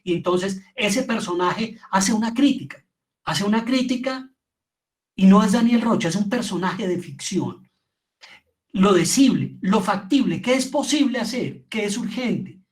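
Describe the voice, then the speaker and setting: A man with a Colombian accent speaks in a notably high register for a male voice. He is middle-aged and lectures informally, explaining with emphasis and stacking phrases as he goes. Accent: Colombian Spanish. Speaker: lecturer, theatre and radio drama maker. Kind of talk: informal online lecture on audio fiction.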